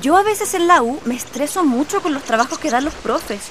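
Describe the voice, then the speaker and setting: A young woman playing a chatty university student and big sister, with a Chilean accent and an expressive manner. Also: sits high, brisk, music in the background. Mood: chatty, pleased. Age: young adult.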